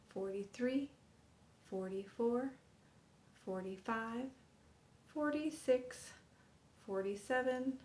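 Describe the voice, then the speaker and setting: A woman's voice: a little high, darkish tone. Upbeat woman teaching, echoey room. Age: 50s. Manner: upbeat, encouraging, laughs at own slips. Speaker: sign language teacher. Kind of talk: counting aloud while demonstrating signs.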